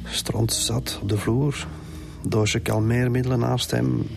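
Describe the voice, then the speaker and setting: A man's voice: mid-range voice, little pitch movement, takes it slowly, gravelly. An actor playing a weary, defensive middle-aged Flemish father in a radio drama. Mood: grim, sorrowful. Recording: music under the dialogue.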